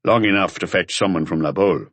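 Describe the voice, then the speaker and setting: A man voicing a calm, authoritative police chief inspector in an audiobook, terse and matter-of-fact. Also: sits low, fast talker, no echo.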